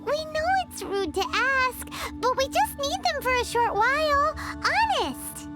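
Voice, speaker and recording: A woman voicing a small, excitable, cheeky fairy companion in a video game, with a squeaky childlike voice. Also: unhurried. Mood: curious. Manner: speaks of self in third person, exclamatory. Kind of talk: video game character acting. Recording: prominent game music behind.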